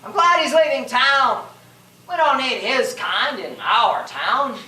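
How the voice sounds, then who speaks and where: A man's voice: very high-pitched, animated, takes it slowly, sharp tone, heavily acted. Man as a scornful townsperson in an echoey hall. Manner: scornful, gossipy. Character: disapproving small-town local muttering about a stranger. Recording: echoey hall from far off, noisy.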